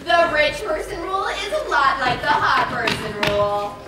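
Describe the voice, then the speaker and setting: A young woman acting as an emphatic, theatrical lecturer in a scripted stage comedy, with a deliberate delivery. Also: high-pitched, very animated. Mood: emphatic explaining. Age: young adult. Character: lab-coated female lecturer, theatrical and emphatic. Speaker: stage actor playing a lecturer. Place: large theatre stage, indoors. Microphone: distant camera microphone. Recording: echoey theatre, far off, audience noise.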